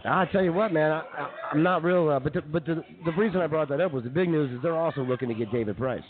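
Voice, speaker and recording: A man's voice: a little high, quick pace, crowd noise. An American man co-hosting sports radio, giving opinionated, colloquial baseball commentary. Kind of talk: casual sports chat. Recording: tinny band-limited live broadcast.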